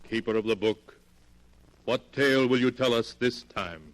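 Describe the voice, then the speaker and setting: An actor playing a solemn, grandiose announcer of a 1940s radio horror show, speaking in measured phrases. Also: male voice, mid-range voice, natural voice. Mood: solemn, portentous. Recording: old 1940s radio, long reverberant hall.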